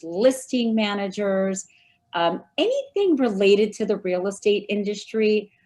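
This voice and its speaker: A woman giving friendly, measured answers as a guest in an interview. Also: mid-range voice, darkish tone. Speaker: guest, recruiting firm founder.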